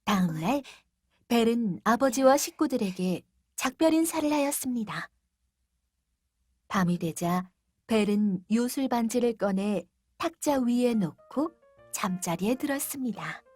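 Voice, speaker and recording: A woman narrating a children's fairytale in a clear, gentle read-aloud manner. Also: fairly high pitch, animated, unhurried, no room sound, soft background music. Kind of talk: scripted storybook narration.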